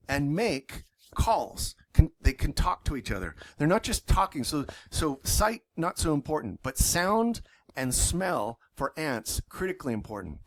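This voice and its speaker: A man giving a university zoology lecture in an enthusiastic, loosely worded way, with frequent fillers and self-corrections. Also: a little high.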